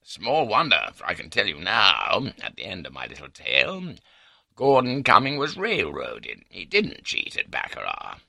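Man acting a gravelly, blustering old English gentleman. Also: low-pitched, huge pitch swings, moderate pace, dry recording, exaggerated voice. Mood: indignant, certain. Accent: upper-class British. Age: older. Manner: pompous, wry, blustering.

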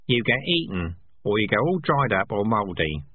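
A man voicing a blunt, practical male rat with a matter-of-fact, squeaky delivery. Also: big pitch swings, moderate pace, husky, no echo. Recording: dry, tinny phone-like sound.

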